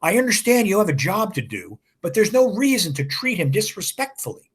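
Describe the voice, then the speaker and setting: A protective, indignant woman researcher who scolds curtly, voiced by a male reader. Her tone is reproachful throughout. The voice is slightly raised and husky. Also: high voice, wildly swooping pitch, brisk, pitched above the performer's own voice, heavily acted. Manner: reproachful, curt.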